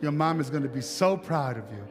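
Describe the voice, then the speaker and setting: A man's voice: a little high, expressive, brisk, big-room sound, natural voice. Man as an encouraging, friendly storybook alligator.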